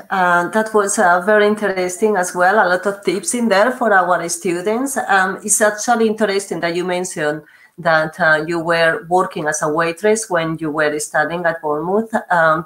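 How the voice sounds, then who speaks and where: Woman, Spanish accent, somewhat low voice, polite, hesitant webinar host. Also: normal speed, a bit bright. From Spain. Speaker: webinar host, university representative. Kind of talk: hosting a webinar. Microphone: video call.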